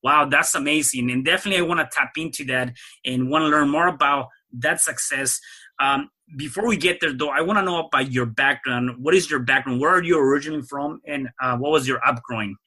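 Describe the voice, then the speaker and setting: Bright, husky-voiced male podcast host. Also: mid-range voice, a little fast. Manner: enthusiastic, repeats words when starting a thought. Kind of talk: casual podcast interview.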